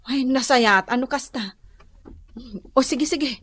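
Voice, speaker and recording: A woman's voice: high voice, slow, fairly bright tone, full character voice. A woman with an Ilocano accent playing a homely, hospitable cousin in a radio drama, conversational and given to exclaiming. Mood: surprised, welcoming.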